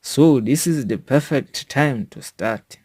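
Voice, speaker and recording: A South African man reading a scripted educational monologue in a deliberate, formal manner, as a podcast host. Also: medium pitch, a little mellow, breathy edge.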